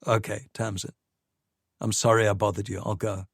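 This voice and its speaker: A man's voice: fairly low pitch, flat delivery, moderate pace, creaky, breathy edge, no room sound. A man voicing an anguished father who pleads earnestly, in a dry audiobook reading. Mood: pleading.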